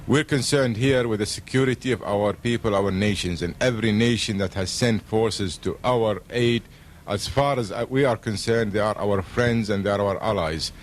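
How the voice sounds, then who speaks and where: A man's voice: fairly low pitch, normal speed, slight background noise. A man speaking as a radio guest in a formal political discussion, earnest and emphatic, with diplomatic phrasing.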